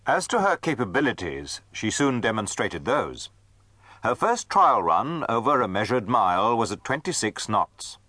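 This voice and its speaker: A man's voice: on the low side, very animated, moderate pace, sharp tone, husky, no echo. A man narrating a dramatised history from a script.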